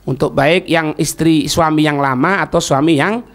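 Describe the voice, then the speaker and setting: Male religious teacher, high voice, Javanese accent, informal lecturing, colloquial and didactic. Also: moderate pace. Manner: didactic, often checking listeners understand; colloquial, acting out short example quotes. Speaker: Islamic preacher teaching religious law.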